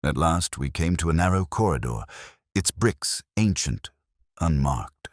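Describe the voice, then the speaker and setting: A man's voice: extremely low pitch, lively intonation, unhurried, dry recording, studio quality. A man reading a Victorian detective story as scripted narration. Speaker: audiobook narrator.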